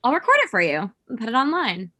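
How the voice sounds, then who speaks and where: A woman's voice: fairly high pitch, wildly swooping pitch, fast, sharp tone, heavily acted. An actress playing a blunt, scornful woman of about thirty with a dry, cutting manner in a comedy table read. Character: blunt, sardonic thirty-year-old woman, scornful ex-girlfriend.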